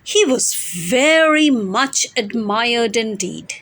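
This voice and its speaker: A woman with a high-pitched voice presents material as an amateur storyteller. Her pace is very slow and deliberate. She phrases carefully and expressively. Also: animated, fairly bright tone. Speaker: amateur storyteller and channel host. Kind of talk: dramatised story reading.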